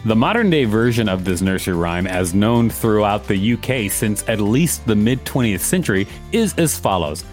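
A man's voice: lowish voice, expressive, moderate pace, hardly any pauses, soft background music. A man co-hosting a podcast, reading an encyclopedia article aloud with casual asides. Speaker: podcast co-host and reader.

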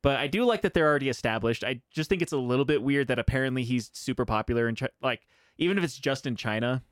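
A man's voice: mid-range voice, fast talker, dry recording, studio quality. Hesitant man, podcast co-host. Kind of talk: casual chat.

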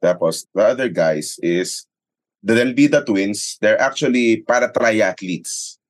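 Deep-voiced Filipino man, casually telling stories. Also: measured pace, no room sound. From Philippines. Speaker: sports announcer and radio commentator, interview guest. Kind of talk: casual conversational storytelling, mixing Tagalog and English.

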